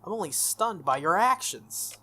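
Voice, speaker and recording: A man reading the lines of a sharp, proud teenage schoolgirl from a visual novel. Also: high-pitched, big pitch swings, measured pace, a little hiss or hum, pitched above the performer's own voice, full character voice. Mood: exasperated. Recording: music behind the voice.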